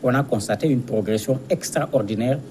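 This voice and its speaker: A man with a mid-pitched voice gives explanatory commentary as a studio guest. He lays out his points didactically, often repeating a word while he finds the next phrase. There is faint noise behind him. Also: normal speed, warm tone. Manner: didactic, repeats words as they search for phrasing. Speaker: guest analyst. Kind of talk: explanatory commentary in a TV news interview.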